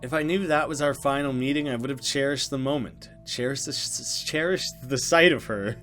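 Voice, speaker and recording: A man's voice: medium pitch, normal speed, a bit bright, soft background music, close to an everyday voice. Man reading as an earnest young woman in a visual novel. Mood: sad, subdued. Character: grieving young woman, friendly hostess, unsettled by things. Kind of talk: visual novel dialogue read aloud.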